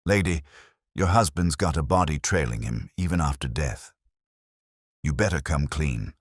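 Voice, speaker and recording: A man voicing a gruff, impatient police inspector, blunt and demanding, in an audiobook detective story. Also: a bass voice, expressive, normal speed, no echo, clean studio sound, heavily acted. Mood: gruff, irritated.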